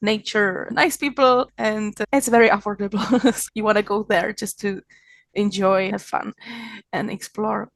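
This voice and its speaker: A woman from the Czech Republic with a Czech accent, a magazine founder speaking friendly, slightly halting English as a guest over a video call. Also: medium pitch, fairly even intonation, creaky, dry recording. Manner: warm, slightly halting non-native English with fillers. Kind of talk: casual interview chat.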